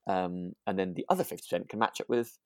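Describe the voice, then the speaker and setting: A man co-hosting a podcast in a relaxed conversational style, hesitant and often rephrasing as he goes. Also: low voice, fast talker, no echo, studio quality. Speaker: podcast co-host. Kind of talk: relaxed conversational discussion of a radio drama.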